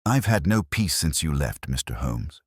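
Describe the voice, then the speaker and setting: A man's voice: rumbling low, sing-song, normal speed, slightly rough, no room sound, studio quality, exaggerated voice. A man voicing an anxious, fretful female housekeeper who complains in a mystery story.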